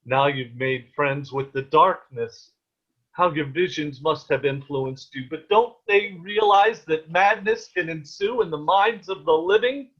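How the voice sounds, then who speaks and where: Guy acting a stern medieval dad, slow and kinda high for a man, fancy old-timey wording, muffled online call sound. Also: fairly bright tone. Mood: anguished. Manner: ornate old-fashioned diction. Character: stern, anguished, protective peasant father of Joan of Arc. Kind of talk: dramatic table read of a period stage play. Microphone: video call.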